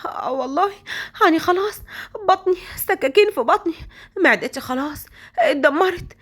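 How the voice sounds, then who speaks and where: A weepy young Egyptian woman full of remorse, as a woman puts her on. Her voice rises high and wobbles with a whine. It comes from a spoken storybook. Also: expressive, brisk, fairly bright tone. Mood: remorseful. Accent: Egyptian Arabic. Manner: whiny, tearful.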